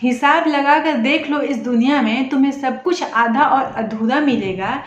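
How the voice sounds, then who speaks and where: High-voiced woman storyteller, echoey room. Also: unhurried. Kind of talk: spoken moral storytelling, emotional. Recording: echoey room, dull sound, faint noise.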